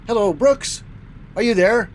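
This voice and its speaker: A man's voice: sits high, measured pace, slight background noise. A man playing an easygoing, enthusiastic trucker captain who hosts a semi-scripted radio-serial roleplay. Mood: upbeat, easygoing. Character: upbeat male space-cadet style trucker captain. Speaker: host.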